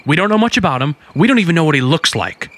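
An American actor playing an earnest young undercover detective, courteous but insistent, in a radio drama. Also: male voice, fairly high pitch, animated, fast, fairly bright tone. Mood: urgent, grave. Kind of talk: old-time radio drama acting.

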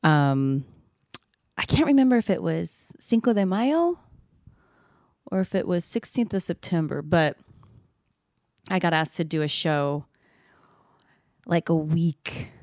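A woman's voice: low voice, measured pace, long pauses, darkish tone, dry recording, clean studio sound. A woman in her thirties, a singer, telling candid stories about her gigs in a casual chat, with lots of fillers and easy laughs. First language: not Spanish; sings in Spanish. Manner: chatty, candid, laughs easily, many fillers. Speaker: singer and podcast co-host.

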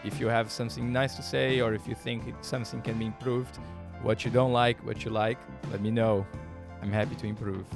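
Gravelly Brazilian-accented male host. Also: medium pitch, level tone, over music. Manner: curious, halting questions with restarts. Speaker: podcast host. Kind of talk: casual interview.

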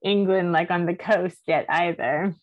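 A woman's voice: lowish voice, steady tone, unhurried, dry recording, muffled recording. A woman hosting a casual, chatty conversation in an informal, enthusiastic way. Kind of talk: casual chat.

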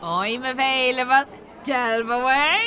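A woman's voice: sits high, takes it slowly, bright, forceful tone, full character voice. A woman playing a brassy, flirty, teasing bingo regular with a Dublin accent in an audio drama. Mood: flirty, cheeky. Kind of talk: radio drama acting. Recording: busy crowd behind.

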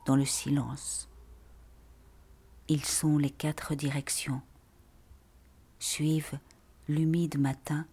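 A person reading scripted literary prose in a breathy, half-whispered voice with measured, deliberate phrasing. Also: mid-range voice, a little mellow.

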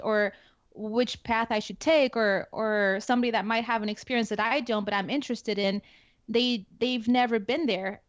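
A woman's voice: fairly high pitch, no room sound. A young adult woman with a Spanish accent, a guest in an interview, talking casually with many fillers and restarts. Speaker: guest, security forces NCO. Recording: dull lo-fi dry sound.

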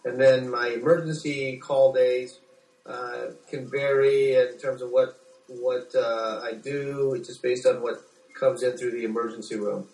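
A middle-aged American man answering interview questions as a doctor, speaking haltingly with repeated words. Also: medium pitch, fairly even intonation, darkish tone. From United States. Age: 40s. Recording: echoey room, distant from microphone.

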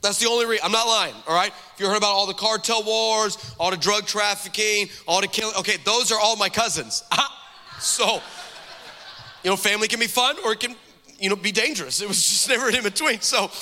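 A man in his forties from the Texas–Mexico border, a guest pastor preaching a lively, joking sermon and calling out to the crowd in a large church hall. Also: high-pitched, brisk. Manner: energetic, joking, calls out to the crowd. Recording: long hall reverb from a church sanctuary.

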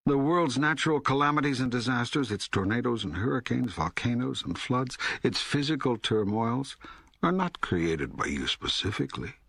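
A man voicing God as a calm, authoritative teacher in an audiobook, raspy, deliberate and solemn. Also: medium pitch, no room sound, studio quality, heavily acted. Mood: solemn, explaining. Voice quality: husky, raspy. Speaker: audiobook narrator. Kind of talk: scripted audiobook dialogue reading.

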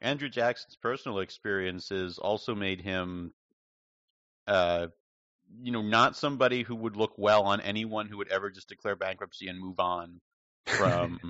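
A man co-hosting a podcast over a remote call, dry and quick with a pun. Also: lowish voice, fairly bright tone, no echo, muffled recording. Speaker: podcast co-host. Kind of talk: casual discussion.

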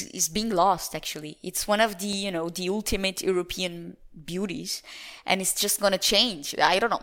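Portuguese-accented woman, lowish voice. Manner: animated, with repeated restarts and stumbles. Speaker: climate scientist guest. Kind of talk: conversational interview answers.

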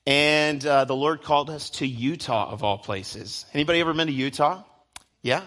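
Bright, chatty young man preaching. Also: on the high side, moderate pace. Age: 20s. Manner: chatty, self-deprecating, jokes with the crowd. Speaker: guest preacher. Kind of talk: casual joking sermon to a youth service. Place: church hall before a live crowd.

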